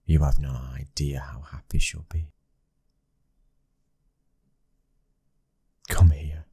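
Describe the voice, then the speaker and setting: A British man acting a protective, devoted young mafia husband in an intimate ASMR boyfriend roleplay, his breathy voice slipping into whispers. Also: flat delivery, moderate pace, warm tone, no room sound, studio quality, heavily acted. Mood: stunned, joyful. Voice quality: very deep, breathy, husky.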